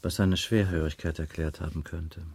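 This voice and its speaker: A man's voice: low voice, steady tone, moderate pace, darkish tone, husky. A man playing a patient, dry investigator in a radio crime drama, asking terse, probing questions and musing as he goes. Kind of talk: 1980s German radio drama dialogue.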